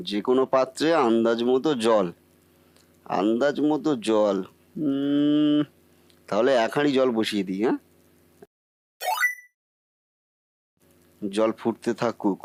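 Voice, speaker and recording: A man's voice: mid-range voice, a little mellow, dry recording. A man voicing a bumbling, boastful cartoon husband who cannot cook, muttering theatrically to himself. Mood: pondering. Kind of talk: comic Bengali cartoon acting.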